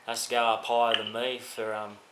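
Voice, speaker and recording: A man's voice: lowish voice, monotone, fairly quick, fairly bright tone. Blokey Australian man in an echoey room. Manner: blokey, matey, rambling. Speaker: prank caller. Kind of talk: casual phone call chat. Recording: roomy, faintly noisy, echoing phone-call recording.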